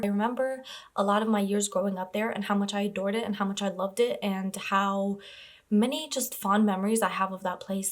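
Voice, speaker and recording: A young woman from Iraq chatting casually about books she read, gushing and repeating words like "really" and "very". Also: mid-range voice. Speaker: book vlogger. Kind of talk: casual book review chat.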